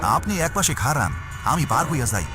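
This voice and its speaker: A man's voice: mid-range voice, sing-song, a little fast, music in the background, lightly acted. A man playing a brash but uneasy villager with a rural Bangladeshi accent in a horror cartoon. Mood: wary, blunt. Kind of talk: horror cartoon story acting.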